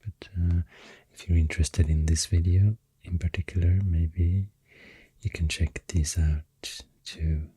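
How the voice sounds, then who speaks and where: Very deep, breathy Swedish man whispering softly. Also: fairly even intonation, no room sound. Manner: gentle, soothing, unhurried. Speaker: ASMR video host. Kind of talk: soft-spoken ASMR rambling. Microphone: close microphone.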